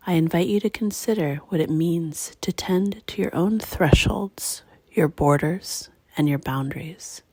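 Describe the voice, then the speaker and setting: A woman leading a guided meditation in a hushed, breathy, gentle and unhurried way, leaving long pauses between phrases. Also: low voice, dry recording. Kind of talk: guided meditation, slow and soothing.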